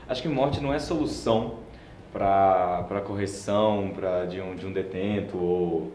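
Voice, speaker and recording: Hesitant low-voiced young man. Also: a little slow, reverberant, noisy background. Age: 20s. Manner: hesitant, searching for words. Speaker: economist panelist. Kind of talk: casual panel debate.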